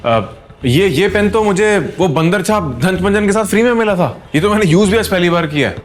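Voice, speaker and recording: An actor playing a meek, earnest young husband in an audio drama, hesitant and placating. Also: male voice, high-pitched, animated, a little fast. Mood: evasive, innocent. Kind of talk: Hindi audio drama acting.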